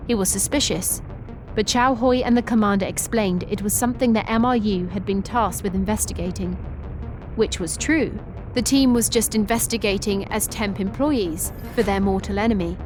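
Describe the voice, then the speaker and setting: Woman narrating a sci-fi story over music. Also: mid-range voice. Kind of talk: scripted narration. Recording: music bed under narration.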